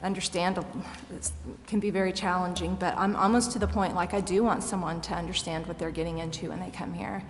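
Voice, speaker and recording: A woman's voice: lowish voice, steady tone, a little fast, few pauses. American woman, candid questioning council member, in a reverberant, noisy chamber. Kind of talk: deliberative public meeting discussion. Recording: echoey meeting chamber with noticeable background noise.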